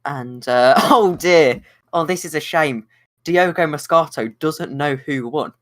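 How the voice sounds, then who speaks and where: A man's voice: fairly high pitch, unhurried, no room sound. Dry-miked wry male co-commentator. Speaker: co-commentator and interviewer. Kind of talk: casual commentary chat.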